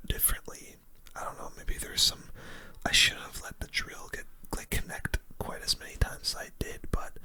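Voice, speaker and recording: Casting: ASMR gaming streamer, fully whispered delivery, soft and crisp with a sharp airy edge, casual rambling commentary up close. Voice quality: soft, breathy unvoiced whisper. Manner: casual, laid-back rambling. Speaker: gaming video creator.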